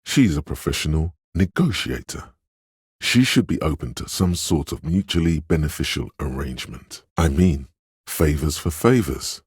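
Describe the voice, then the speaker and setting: An actor playing a smooth, sly inner voice of persuasion in a video game, narrating in a gravelly bass with a measured, insinuating delivery. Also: male voice, animated, no echo, clean studio sound, exaggerated voice. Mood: scheming, suggestive. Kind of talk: scripted second-person game narration.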